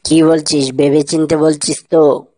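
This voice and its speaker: A man's voice: a little high, level tone, unhurried, dark tone, dry recording, studio quality. A man with a Bangladeshi accent voicing a grumpy, baffled man in a comic cartoon skit, with blunt, questioning delivery. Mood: grumbling, puzzled. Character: grumpy, baffled man, stern boss and troubled friend.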